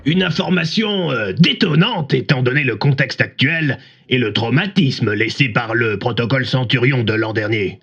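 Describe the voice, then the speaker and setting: A man's voice: mid-range voice, moderate pace, vocal fry, a bit bright, breathy edge. A man playing a polished, serious local radio presenter in an audio drama, heard through a radio. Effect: heard through a car radio. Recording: muffled radio broadcast sound.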